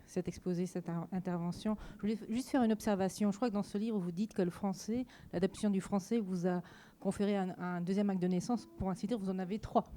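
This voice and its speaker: A woman's voice: mid-range voice, steady tone, mellow. Polite woman audience member. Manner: polite, slightly halting. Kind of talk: remark from the audience.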